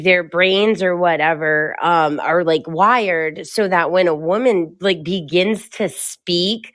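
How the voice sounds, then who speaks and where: A woman podcast co-host in casual chat, loud and animated, laughing often as she tells stories. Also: medium pitch, dry recording.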